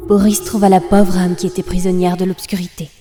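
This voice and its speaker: A woman narrating a dark story in a solemn, measured, scripted style. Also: lowish voice, flat delivery. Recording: long reverb over music.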